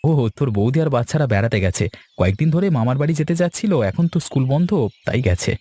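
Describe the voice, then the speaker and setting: A man's voice: medium pitch, very animated, quick pace, dark tone, dry recording. A man playing a busy, kindly village farmer in a cartoon, explaining things in an avuncular way. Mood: advising. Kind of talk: cartoon dialogue acting.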